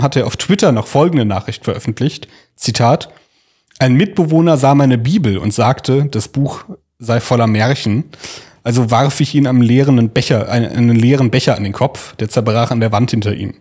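A man's voice: medium pitch, normal speed, a little mellow, slightly rough. A man telling a story as a podcast host in an informal, conversational way. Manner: informal, colloquial. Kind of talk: conversational storytelling, reading out quotes.

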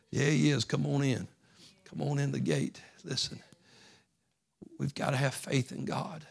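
A man preaching a sermon as pastor, folksy and repetitive, building from soft talk to loud fervent preaching. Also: mid-range voice, steady tone, unhurried, creaky, pauses often. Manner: folksy, repeats phrases.

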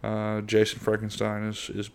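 A middle-aged man from the United States hosting a casual solo podcast in a monotone voice, rambling with lots of fillers and restarts. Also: on the low side, normal speed, creaky, smooth. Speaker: podcast host.

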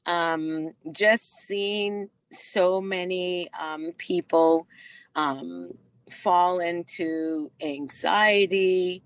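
Middle-aged woman guest from the Bahamas, low and slow, earnest storyteller, dry close mic. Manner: slow and earnest, frequent fillers. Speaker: guest, doctor and author. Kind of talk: interview storytelling.

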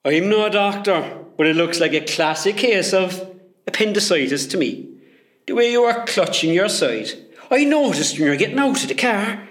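A man's voice: high voice, animated, moderate pace, a bit bright, reverberant. A man playing a cheerful, gossipy hospital porter in a comedy radio play, chatty and familiar. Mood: chatty, teasing.

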